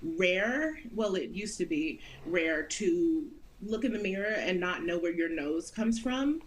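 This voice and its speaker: A woman's voice: fairly low pitch, animated, a little slow, a little hiss or hum, low-quality recording. A woman in her thirties from the United States, an actress talking earnestly in an interview and laughing often. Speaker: guest actress. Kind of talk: interview conversation.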